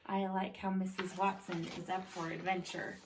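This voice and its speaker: A woman reading a picture book aloud to children in a deliberate storytelling style, pausing to put questions to her young listeners. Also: on the low side, level tone, a little hiss or hum, low-quality recording.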